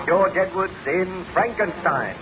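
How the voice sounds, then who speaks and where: A man's voice: sits high, animated, unhurried, very noisy. A man narrating as a 1930s radio announcer, with a formal, dramatic scripted delivery. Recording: muffled vintage 1930s radio recording. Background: music.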